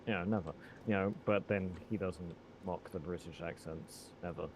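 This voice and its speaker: A man talking casually with friends during a game, halting and wry, over a noisy voice chat. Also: deep voice, fairly even intonation. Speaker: gamer playing Minecraft with friends.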